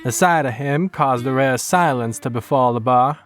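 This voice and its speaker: A male narrator tells a western horror story in the first person. His mid-pitched delivery is measured and folksy, with plucked guitar playing behind him. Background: plucked guitar music.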